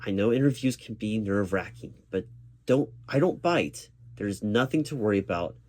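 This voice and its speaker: Low-voiced man, patient reassuring interviewer. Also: animated, normal speed, a little mellow. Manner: patient, reassuring, formal corporate phrasing. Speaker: recruiting manager interviewing a job candidate in an ASMR roleplay. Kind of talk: one-sided ASMR roleplay, spoken aloud.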